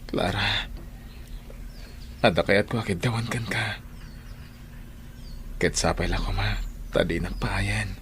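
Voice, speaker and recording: An actor playing a remorseful young father in an Ilocano radio drama, speaking earnestly and haltingly with emotion. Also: fairly low pitch, fairly even intonation, fairly bright tone, slight background noise. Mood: remorseful, pleading. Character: remorseful young father pleading for a second chance. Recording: music bed behind the voice.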